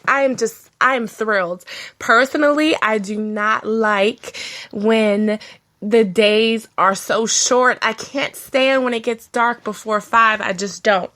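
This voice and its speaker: A woman hosting her own radio show with a stuffy, nasal voice from a cold. She talks loosely and chattily, full of ums and self-corrections, at a middle pitch. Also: fairly bright tone. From Washington, D.C. area. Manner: chatty, rambling, with frequent ums and restarts. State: congested with a cold. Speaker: internet radio show host. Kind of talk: casual solo radio talk.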